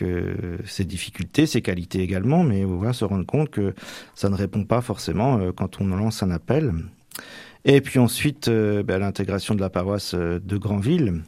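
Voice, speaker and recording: A young man with a fairly low voice talks through his path in a radio interview. He hesitates often, repeating words and filling pauses as he searches for what to say. The recording is close and dry, with no room sound. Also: darkish tone, no echo. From Manche, Normandy. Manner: hesitant, many fillers and restarts. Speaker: future priest, interviewee.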